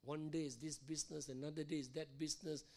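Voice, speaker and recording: Male pastor, middle-aged, hoarse and gravelly, repetitive teaching sermon in church. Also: fairly high pitch, little pitch movement, quick pace, mellow. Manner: repeats phrases, frequent "all right?" and "okay?" checks. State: hoarse, losing his voice.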